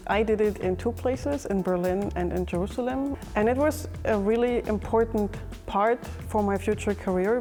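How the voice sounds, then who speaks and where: Warm-voiced woman explaining calmly. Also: medium pitch. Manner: measured, explanatory. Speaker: physics professor and project coordinator. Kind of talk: documentary interview.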